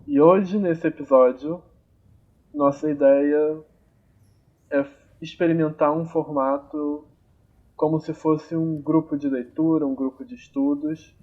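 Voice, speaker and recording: A young man hosting a podcast in a relaxed, casual way, thinking aloud with many fillers, recorded on amateur home gear. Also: a little high, slow, dull audio. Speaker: podcast host. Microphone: amateur home recording, phone or computer.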